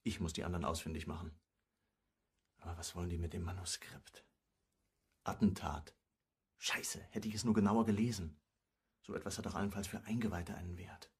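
An actor playing a hunted, wry amateur sleuth, narrating and acting in a crime radio play in a clipped, brooding way. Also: male voice, fairly even intonation, a little fast, pauses often, no room sound, exaggerated voice. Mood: anxious brooding. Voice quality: low, husky. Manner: clipped, brooding, first-person telling.

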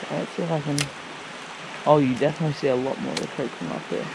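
A young man talks in a slightly higher register, exclaiming and repeating his words as he fishes. He is heard outdoors on a creek bank. The action-camera audio has clear background noise. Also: very fast. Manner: excitable, repeats himself, exclaims loudly. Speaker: fishing companion. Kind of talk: excited casual chat.

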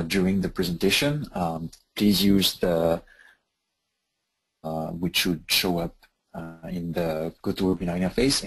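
A man with a French accent giving a technical webinar presentation, talking with many pauses and filler sounds. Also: sits low, gravelly, husky, rough recording. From France.

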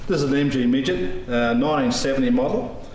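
A man's voice: medium pitch, fairly quick, background noise. Rambling Australian man in his sixties, echoey shed. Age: 60s. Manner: rambling, hesitant, laughs easily. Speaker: car collector. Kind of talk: casual interview chat. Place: large metal workshop shed, indoors.

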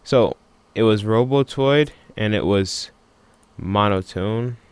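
A man giving a casual software tutorial in an offhand, unscripted way, thinking aloud as he works. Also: on the low side, slow, clear, resonant tone. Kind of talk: casual screen-recording tutorial.

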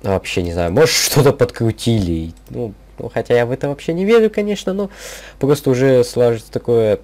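A young man with a slightly sick voice giving casual, rambling game commentary in halting gamer slang over background music. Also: medium pitch, lively intonation, darkish tone. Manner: informal gamer slang, halting, thinking aloud. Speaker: gaming video creator. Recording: music behind the voice.

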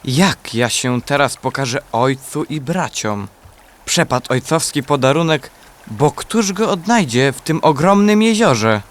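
An actor playing a rueful young prince in a fairy-tale radio play, speaking in a dramatic, lamenting way. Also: male voice, mid-range voice, animated, a little slow, natural voice. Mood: worried regret.

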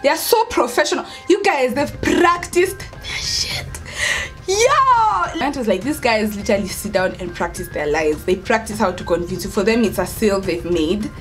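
High-voiced young Kenyan woman chatting emphatically. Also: normal speed, faint music behind. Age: young adult. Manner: emphatic, expressive, repeats words for stress. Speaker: vlogger, storyteller. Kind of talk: casual storytime chat to camera.

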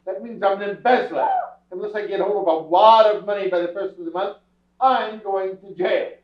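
A blustery, exasperated head of a rich household in an old radio comedy, played by a man. He is curt and emphatic in a high-pitched voice, recorded from far off in an echoey hall. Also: a little fast, natural voice. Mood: exasperated, gloomy. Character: blustery, exasperated, broke rich family father. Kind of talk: staged old-time radio comedy acting. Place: indoor theatre stage, distant camera. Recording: distant, echoey, dull theatre stage recording.

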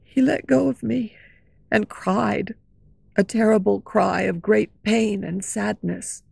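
A woman's voice: medium pitch, steady tone, slow pace, creaky, darkish tone, slightly rough, no echo, heavily acted. A woman reading as a frightened young female singer telling her lover a terrible story. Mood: recounting. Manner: earnest, fearful storytelling.

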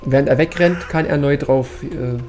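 A man's voice: fairly high pitch, moderate pace, soft background music. A man streaming a co-op horror game, casually chatting and directing his teammates, yelping when attacked. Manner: directs the team, yelps and shouts when attacked. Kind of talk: casual gaming chat with teammates.

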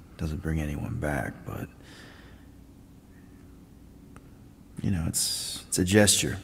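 An actor playing a gentle, awkward man in a video game, speaking haltingly and earnestly to reassure. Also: low-pitched. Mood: tender, consoling. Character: gentle, awkward man consoling a grieving child. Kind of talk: naturalistic video game cutscene acting. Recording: long reverb, clear background noise.

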